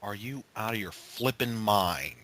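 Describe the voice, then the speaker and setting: A man's voice: lowish voice, a little slow, a bit bright. A young man bantering casually with his gaming group, slipping into mock-dramatic quotes. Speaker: member of an online gaming video group.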